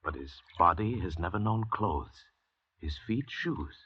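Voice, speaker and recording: Suave arrogant villain, very deep raspy voice, theatrical sweep, dull vintage radio recording. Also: male voice, lively intonation, heavily acted. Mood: grandly contemptuous. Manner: pompous, deliberate, theatrical oratory. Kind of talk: old-time radio drama acting.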